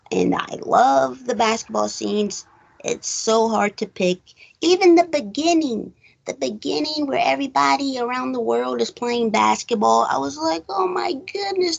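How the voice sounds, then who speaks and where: American lady chatting about movies, slow pace, highish voice, slangy and into it. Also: creaky, rough recording. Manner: enthusiastic, conversational, slangy. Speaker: podcast co-host reviewing a film. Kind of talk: casual film chat.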